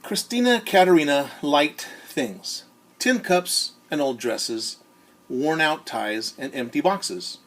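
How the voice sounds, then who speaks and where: A man who is a teacher reads a storybook aloud to children in an expressive way, often turning to ask his listeners questions. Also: fairly high pitch, takes it slowly. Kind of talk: animated storybook read-aloud with asides to young listeners.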